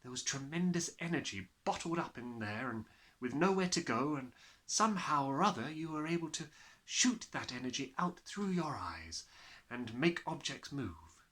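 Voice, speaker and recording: A man voicing a kind, thoughtful woman schoolteacher who explains things gently in a storybook. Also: mid-range voice, animated, moderate pace, lightly acted. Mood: thoughtful explaining.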